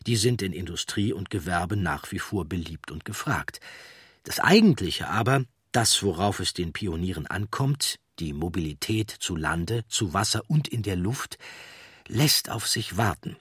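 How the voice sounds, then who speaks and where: A man narrator reading a scripted documentary with measured, deliberate phrasing. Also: deep voice, animated, fairly bright tone, husky, no room sound, studio quality.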